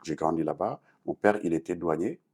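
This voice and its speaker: A man's voice: low voice, fairly quick, darkish tone, slightly rough. A Senegalese man answering interview questions in French-speaking West African style, explaining things earnestly with a Senegalese accent. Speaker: talk show guest, artist and activist.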